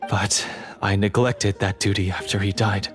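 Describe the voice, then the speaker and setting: An actor playing a troubled, bitter young hunter's heir in a video game, speaking earnestly and dramatically. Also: male voice, lowish voice, little pitch movement, moderate pace, faint music behind, close to an everyday voice. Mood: grave.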